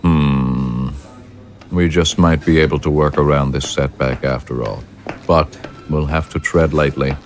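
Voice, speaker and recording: A man playing a commanding, calculating ruler in an audio drama, speaking with deliberate authority. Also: very deep voice, steady tone, soft background music. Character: commanding, calculating male ruler of a militarist state.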